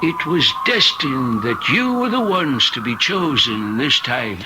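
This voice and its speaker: A man's voice: mid-range voice, very animated, noisy background. An actor playing a folksy, cryptic old man of a ghost town in an old-time radio drama. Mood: ominous. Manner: cryptic, unhurried, ominous.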